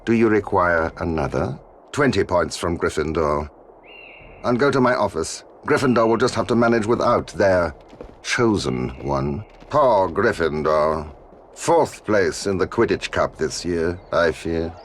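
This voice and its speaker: A man playing a cold, spiteful wizard professor in a video game, slow, deliberate and sarcastic. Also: deep voice, expressive, a little hiss or hum. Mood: cold, mocking. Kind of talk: dramatic video game acting.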